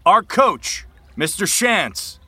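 A man's voice: high-pitched, very animated, very slow, pushed and bright, slightly rough, heavily acted. An actor playing an arrogant, domineering young male college rowing captain in an audio drama, commanding and projecting. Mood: pompous, commanding. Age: young adult. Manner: pompous, commanding, projected speech. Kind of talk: scripted audio drama acting.

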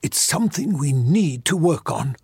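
A man playing an old, stern, measured magical mentor with a raspy voice in a fantasy audio drama. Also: on the high side, big pitch swings, a little mellow, dry recording, studio quality, heavily acted. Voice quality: husky, raspy.

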